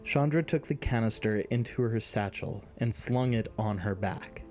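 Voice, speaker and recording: A man's voice: lowish voice, moderate pace, darkish tone. Male story narrator over music.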